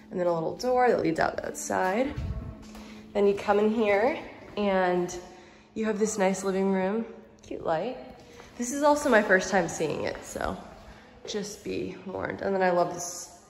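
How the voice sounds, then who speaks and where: A bubbly young woman walks through an empty house, chatting casually as she tours each room. Her voice sits at an ordinary middle pitch. It is picked up on a phone held at arm's length, with a faint hiss underneath. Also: a little mellow. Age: young adult. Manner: chatty, bubbly, heavy use of "like". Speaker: vlogger. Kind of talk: casual house-tour vlog.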